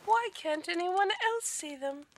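A woman's voice: very high-pitched, lively intonation, a little slow. A woman playing a frightened, insistent young noblewoman, daughter of a duke, in an audio drama. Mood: anxious.

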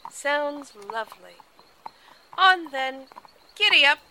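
A woman's voice: high voice, takes it slowly, pushed and bright, slight background noise, heavily acted, rough recording. A woman playing a chatty, tender young noble lady in an audio drama. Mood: cheerful, eager.

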